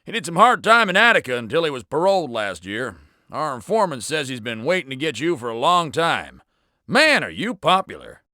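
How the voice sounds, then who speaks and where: A man's voice: a little high, big pitch swings, fairly quick, bright, forceful tone, dry recording, clean studio sound. A man with an American accent playing a casual, wisecracking intelligence agent in a video game. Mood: breezy. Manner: casual, folksy, joking. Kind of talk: video game character dialogue.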